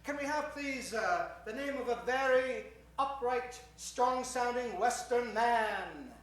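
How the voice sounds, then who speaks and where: A man with a very high-pitched voice works a live audience as stage announcer. He is courteous and mock-formal, like an old-time radio showman. He is heard far from the microphone in a very echoey hall. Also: slow, a bit bright. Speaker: stage announcer warming up an improv show. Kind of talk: theatrical live emceeing to an audience.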